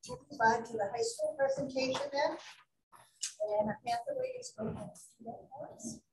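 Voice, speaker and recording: A woman chairing a school board meeting, brisk and procedural. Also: high voice, big pitch swings, a little mellow, slight background noise. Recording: echoey room from a distance.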